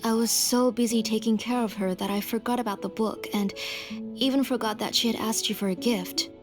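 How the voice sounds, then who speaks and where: A woman's voice: on the high side, steady tone, brisk, natural voice. A woman voicing an anxious, devoted mother in a video game, earnest, humble and protective. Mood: apologetic, worried. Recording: prominent background music under the dialogue.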